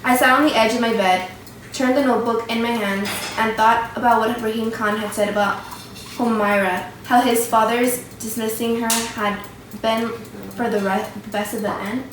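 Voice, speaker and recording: Woman as regretful narrator recalling childhood, higher pitch, readers' theatre, echoing room. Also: steady tone, moderate pace, close to an everyday voice. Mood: remorseful, reflective. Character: remorseful Afghan narrator recalling his boyhood. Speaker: student performer. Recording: echoey room, faint background noise.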